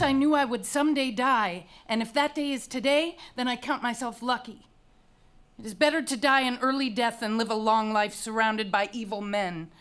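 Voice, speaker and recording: A high-pitched young princess in Greek tragedy, proud and intense. She taunts authority with defiant conviction, delivered as a staged dramatic reading. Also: fairly bright tone. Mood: proud defiance. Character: defiant young princess condemned for burying her brother. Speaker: actor.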